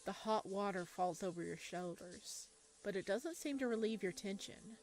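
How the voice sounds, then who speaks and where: A woman's voice: mid-range voice, natural voice. A woman reading a visual novel as a solemn, suspenseful narrator of a creepy horror story. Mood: uneasy, suspenseful. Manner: slow, hushed, suspenseful. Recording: music behind the voice.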